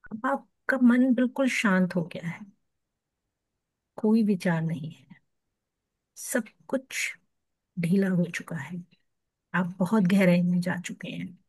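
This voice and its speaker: Female meditation guide, Indian accent, very slow and soothing, dry close sound. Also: on the low side, no room sound, rough recording. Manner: soothing, hushed at phrase ends, with long pauses.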